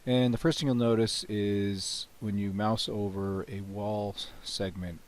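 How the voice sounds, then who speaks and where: A man with a cold, hoarse and often clearing his throat, casually talking through a software demo with many "you know"s and restarts. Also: lowish voice, unhurried. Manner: relaxed, rambling, frequent "you know" and restarts. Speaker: software developer demonstrating his plugin. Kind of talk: screen-recorded software walkthrough.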